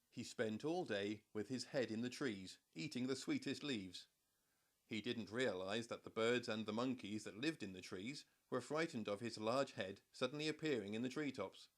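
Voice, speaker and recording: A man reading a children's story as an audiobook narrator, in a measured, expressive storytelling manner. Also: medium pitch.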